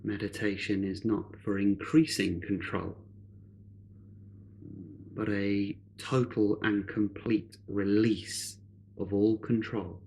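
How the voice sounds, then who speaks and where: A man leading a guided meditation in a measured, unhurried way, leaving long pauses between phrases. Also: on the low side, level tone, a little mellow, slight background noise, rough recording.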